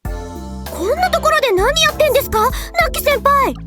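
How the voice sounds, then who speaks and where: A woman voicing an excitable young girl in a Japanese visual novel. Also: extremely high pitch, fast talker. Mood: indignant, surprised. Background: game music.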